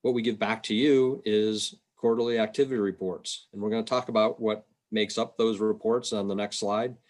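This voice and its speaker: A man with a fairly low voice talks through a presentation on a video call. His delivery is informal and explanatory, with a halting, plain-spoken way of laying things out. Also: steady tone, darkish tone. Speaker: state revenue agency policy presenter. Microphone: video call microphone.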